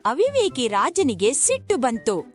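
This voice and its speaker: A woman's voice: sits high, huge pitch swings, unhurried, soft background music. A woman narrating a moral folk tale in a scripted storytelling style.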